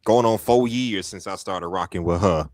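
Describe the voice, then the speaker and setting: A man's voice: deep voice, moderate pace, dry recording, studio quality. A man chatting on a live stream as a fellow streamer, easygoing and laid-back. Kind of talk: casual chat on a live stream.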